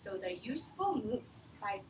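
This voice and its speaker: A woman's voice: mid-range voice, sing-song, moderate pace. A woman teacher reading aloud to young children in a classroom, explaining simply and often prompting them with questions. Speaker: elementary school teacher. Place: echoey classroom. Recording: echoey room, distant mic, faint noise.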